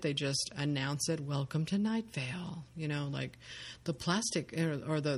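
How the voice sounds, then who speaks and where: Chatty woman podcast host, full of fillers. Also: deep voice. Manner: enthusiastic, rambling with many fillers. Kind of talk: casual podcast chat.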